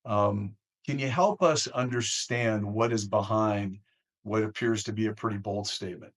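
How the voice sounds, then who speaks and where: A man hosting an interview podcast, speaking conversationally with measured, deliberate phrasing. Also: on the low side. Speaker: podcast host.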